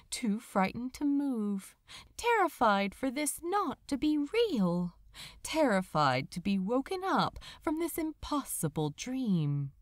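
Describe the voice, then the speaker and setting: A woman narrates fiction in an expressive, dramatic style. She reads very slowly, with drawn-out pauses and a melody that rises and falls widely. The recording is dry and close. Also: a little high, no room sound. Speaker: audiobook narrator. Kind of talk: expressive scripted story narration.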